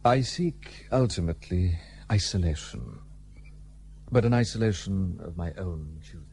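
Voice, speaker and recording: An actor playing a gruff, guarded, well-travelled gentleman in a period radio play, speaking in a dry, formal, old-fashioned way. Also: low voice, very animated, unhurried, slight background noise, full character voice. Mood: reserved, dry. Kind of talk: period radio drama acting.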